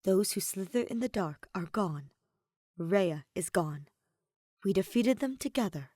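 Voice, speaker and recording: A woman voicing a gentle, quietly strong wife and mother, soft, tender and deliberate in an audio story. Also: mid-range voice, expressive, no echo, clean studio sound. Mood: reassuring.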